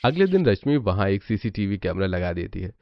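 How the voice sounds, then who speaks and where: A man's voice: sits low, sing-song, a little fast. A man narrating a scripted cartoon family story. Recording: muffled audio, clear background noise.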